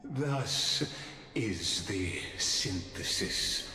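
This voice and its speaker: A man's voice: deep voice, lively intonation, bright, forceful tone, full character voice. A man playing a smug, theatrical game villain who talks down to others in a mocking, grandiose manner. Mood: triumphant lecturing. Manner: drawn-out, mocking, grandiose delivery. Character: smug, theatrical male villain, coolly condescending schemer. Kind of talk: dramatic video game voice acting. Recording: cavernous reverb over a music bed.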